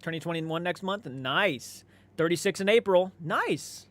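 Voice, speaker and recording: A man's voice: high-pitched, expressive, measured pace, fairly bright tone. A man, maybe in his thirties, chatting casually as a livestream host, laid-back and conversational, swearing freely and responding to viewer comments.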